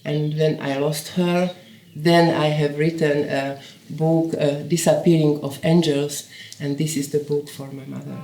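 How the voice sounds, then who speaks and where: Slow, halting older woman in a roomy space. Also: low-pitched, level tone, warm tone. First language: Hungarian. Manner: halting, searching for words, with restarts. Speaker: poet. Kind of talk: reflective documentary interview. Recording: roomy echoey sound.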